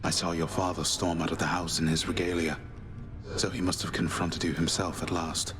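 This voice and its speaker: An actor playing a grave, fatherly older man in a fantasy game, speaking in a solemn, measured, formal way. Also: sits low, level tone, music in the background, lightly acted. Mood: solemn, encouraging.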